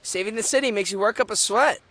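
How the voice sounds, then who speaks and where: A brash, laid-back teenage turtle in a cartoon game. The voice is high and sharp, with a casual, slangy delivery. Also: male voice, fast. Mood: nonchalant. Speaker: voice actor in a game cutscene. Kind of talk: animated cartoon game acting.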